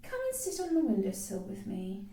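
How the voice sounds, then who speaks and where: A woman's voice: mid-range voice, sing-song, normal speed, mellow, lightly acted. A woman voicing a kind, proud, self-controlled young schoolgirl in a storybook, earnest and restrained. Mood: coaxing, cheerful. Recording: roomy echo, distant microphone.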